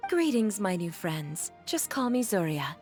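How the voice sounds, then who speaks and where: A woman playing a gracious, genteel mother and employer in a video game, courteous, refined and gentle. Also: medium pitch, sing-song, a little slow, faint music behind. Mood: kindly.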